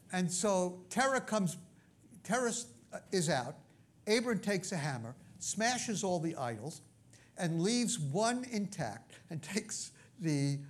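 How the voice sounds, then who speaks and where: A man from Brooklyn with a New York accent, teaching a sermon in a conversational way with frequent asides and check-ins to the listeners. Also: on the high side. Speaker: pastor.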